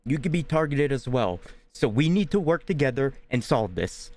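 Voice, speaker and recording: A man's voice: mid-range voice, big pitch swings, normal speed, darkish tone. A man streaming a game, chatting casually and joking at the characters. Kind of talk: casual gameplay commentary.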